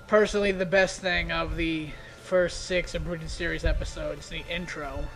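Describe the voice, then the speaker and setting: A man's voice: on the high side, level tone, moderate pace, gravelly, a bit bright, faint music behind. A man giving a casual, off-the-cuff commentary on his own videos in a self-deprecating, grumbling way. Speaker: creator of an abridged parody series.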